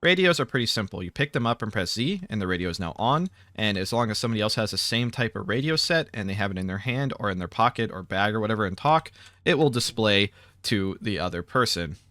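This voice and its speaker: A man's voice: fairly low pitch, lively intonation, fast talker, pushed and bright, no room sound. A man giving an informal, matter-of-fact tutorial narration for a video game, explaining things step by step in an instructional way.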